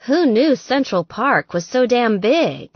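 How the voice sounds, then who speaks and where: A woman's voice: a little high, very animated, slow, breathy edge, no echo, muffled recording, voice pushed up, heavily acted. A woman voicing an eager, good-natured young girlfriend in audiobook dialogue, earnest, chatty and self-deprecating. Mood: weary, playful.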